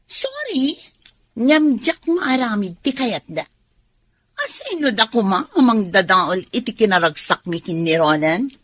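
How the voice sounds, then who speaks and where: A haughty radio-drama mistress, defiant and selfish. Her husky voice runs high and swoops widely, and she stretches her words slowly in a dry studio. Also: very animated, fairly bright tone, no echo, full character voice. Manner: haughty, drawn-out dramatic delivery. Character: defiant, selfish mistress clinging to a married man.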